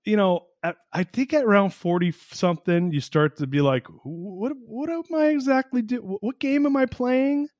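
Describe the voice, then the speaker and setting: A man in his forties hosting a podcast interview, talking in an excitable, casual way with frequent swearing and laughter. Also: sits high, moderate pace, few pauses, no echo, clean studio sound. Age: 40s. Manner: excitable, profane, laughs often, animated. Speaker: podcast host.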